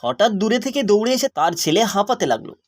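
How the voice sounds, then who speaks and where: A man's voice: high voice, sing-song, fast, dry recording, clean studio sound. A man narrating a scripted cartoon story.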